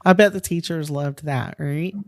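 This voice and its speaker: A woman's voice: low-pitched, normal speed, a little mellow, no room sound, clean studio sound. A woman hosting a podcast, reading a scripted introduction and interviewing a guest with short, attentive affirmations. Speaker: podcast host.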